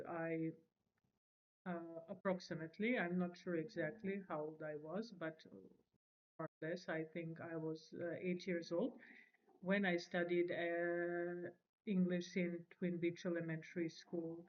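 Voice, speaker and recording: A woman's voice: lowish voice, highs cut off. Hesitant woman in her forties. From Serbia. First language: Serbian. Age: 40s. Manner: hesitant, many fillers and restarts. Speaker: channel host. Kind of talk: unscripted self-introduction to camera.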